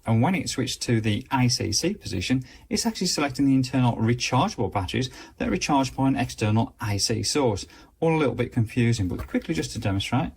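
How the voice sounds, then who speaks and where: A man's voice: mid-range voice, expressive, fast talker, gravelly, a little mellow, slightly rough. A man giving a casual, chatty product review, explaining features as he demonstrates them.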